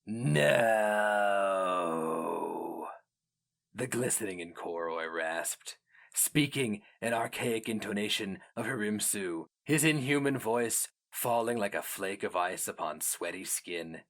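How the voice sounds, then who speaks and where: A man narrating a fantasy story as a dramatic reading, grave, measured and theatrical. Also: on the low side, a bit bright. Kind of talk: dramatic scripted fiction narration.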